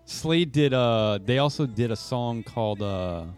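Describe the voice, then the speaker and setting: A man co-hosting a podcast in casual, halting conversation with music playing behind him. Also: mid-range voice, lively intonation, clear, resonant tone. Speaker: podcast co-host. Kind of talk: casual chat.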